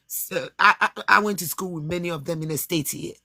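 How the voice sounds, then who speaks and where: Woman on a call, rough gravelly voice, bright and edgy, gets emotional and stumbles over words. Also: on the low side, a little fast, dry recording. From Ghana. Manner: emotional, pleading, stammering. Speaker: guest. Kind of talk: heated online live chat.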